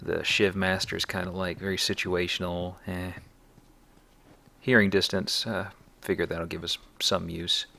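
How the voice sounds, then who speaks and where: A man's voice: low voice, flat delivery, measured pace, gravelly, bright, forceful tone. A man hosting a let's play, giving casual, conversational game commentary with wry, jokey asides.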